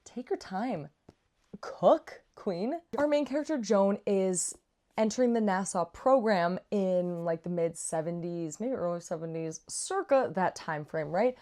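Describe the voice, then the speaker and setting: A woman's voice: mid-range voice, animated, a little slow, darkish tone. A woman giving a casual, chatty book review with slangy asides and playful, dramatic emphasis. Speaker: book reviewer, vlog host.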